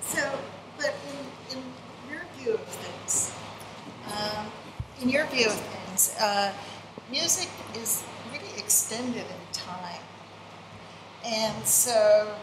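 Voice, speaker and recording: A middle-aged woman on an academic panel, asking a thoughtful question in a measured way. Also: a little high. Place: seminar room with a panel table. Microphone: table microphone. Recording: echoey room with background noise.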